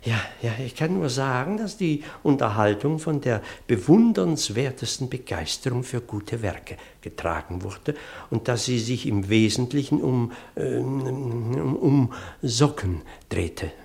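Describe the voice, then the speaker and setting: Fussy, gravelly, long-winded clergyman. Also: mid-range voice, animated, a little slow, few pauses, darkish tone. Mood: flustered, earnest. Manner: pedantic, digressive, hesitant, easily flustered. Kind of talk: German radio play acting.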